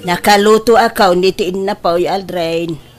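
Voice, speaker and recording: A woman's voice: lowish voice, fairly even intonation, moderate pace, soft background music, close to an everyday voice. An actress playing a blunt, scolding middle-aged village mother in an Ilocano radio drama. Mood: businesslike.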